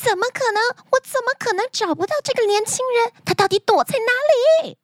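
A woman's voice: very high-pitched, big pitch swings, a little slow, no echo, studio quality, voice pushed up, full character voice. A woman voicing an arrogant, hot-tempered young princess in a fairy tale, haughty and imperious. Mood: furious disbelief.

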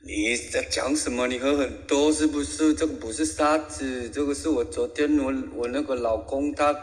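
A man's voice: mid-range voice, fairly even intonation, moderate pace, big-room sound, close to an everyday voice. A man voicing a cheeky, feisty old grandma tourist with a Taiwanese accent in an audio comedy. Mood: defensive, indignant. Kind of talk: audio comedy radio drama acting.

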